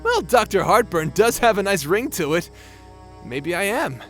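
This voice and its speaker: Man acting a high-voiced, easygoing youthful space pirate. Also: very animated, a little slow, a bit bright, faint music behind, exaggerated voice. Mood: playful. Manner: casual, teasing, reassuring. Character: youthful-seeming centenarian space pirate, teasing, supportive, easygoing. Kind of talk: audio drama acting.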